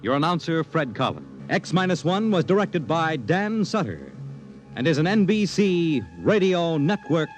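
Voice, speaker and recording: A male radio announcer giving a crisp, formal closing in the manner of a 1950s broadcast. His voice sits slightly high and moves with lively pitch, and faint music plays behind him. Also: moderate pace.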